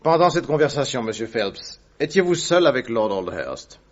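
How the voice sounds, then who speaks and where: A man's voice: medium pitch, big pitch swings, moderate pace. A man voicing an inquisitive male detective in a radio drama, asking probing, courteous questions. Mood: inquiring. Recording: dull muffled audio recording.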